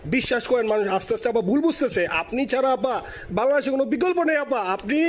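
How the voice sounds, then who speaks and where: A man's voice: very high-pitched, animated, quick pace. A man from Bangladesh on a phone call, an eager, deferential party supporter calling from abroad. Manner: deferential, eager, keeps saying yes sister. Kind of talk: phone conversation.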